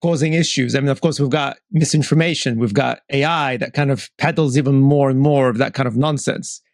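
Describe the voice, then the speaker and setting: Blunt, animated man hosting a podcast. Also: mid-range voice, a little fast, no echo, studio quality. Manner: animated, stammering restarts, blunt. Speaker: podcast host. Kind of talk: casual interview talk.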